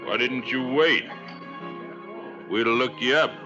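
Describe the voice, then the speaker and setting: An American actor playing a surly, menacing frontier troublemaker with a drawling delivery in an old radio western. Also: male voice, medium pitch, a little slow, natural voice. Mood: stubborn menace. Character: surly, taunting Old West tough, adult man. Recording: dull old radio recording, music.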